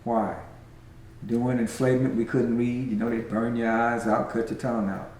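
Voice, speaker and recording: Older man, dark voice, echoey room. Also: mid-range voice, flat delivery, normal speed, a little hiss or hum. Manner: emphatic, repeats key phrases. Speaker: community elder. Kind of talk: casual group conversation.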